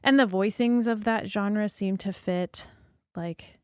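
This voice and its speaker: A young woman, a singer-songwriter guest, talking casually and thoughtfully in a podcast interview, soft-spoken with many fillers. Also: medium pitch, steady tone, takes it slowly, clear, resonant tone, no room sound, clean studio sound.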